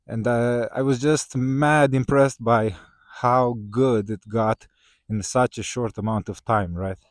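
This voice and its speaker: A man whose first language is Romanian, talking casually to his viewers with many "uh" fillers and tag questions, growing more animated in places. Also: medium pitch, slow pace, no room sound, clean studio sound. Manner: conversational, many "uh" fillers and "right?" tags, rising when excited. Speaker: vlogger. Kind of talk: casual talk to camera.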